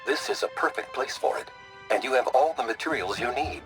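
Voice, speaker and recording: A man's voice: medium pitch, brisk, a bit bright, soft background music. An actor playing a mysterious, playfully evasive male guide heard over a radio in a video game. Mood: calmly amused. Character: mysterious, teasing male stranger guiding heroes over radio.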